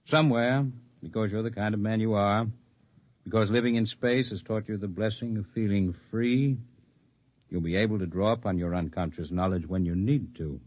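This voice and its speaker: An actor playing a composed, articulate leader of an underground freedom movement in 1950s radio drama style, speaking in measured, formal, persuasive speeches. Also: male voice, lowish voice, no room sound, natural voice. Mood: calm, instructive. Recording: dull lo-fi vintage radio recording.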